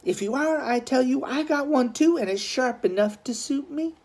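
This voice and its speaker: A man's voice: extremely high pitch, normal speed, darkish tone, pitched above the performer's own voice. A man voicing a scrappy, defiant teenage street boy from the Bowery with a taunting, streetwise manner in a storybook reading.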